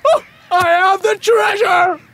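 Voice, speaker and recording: A man playing an eager, hot-headed pirate crewman in an audio drama, excitable and theatrical. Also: very high voice, slow, slight background noise, exaggerated voice. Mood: fierce, excited.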